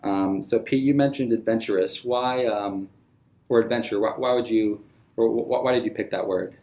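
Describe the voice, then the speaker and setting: A man's voice: fairly low pitch, moderate pace, lo-fi sound. Hesitant young male podcast host. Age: young adult. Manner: hesitant, many fillers and restarts. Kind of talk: casual podcast interview.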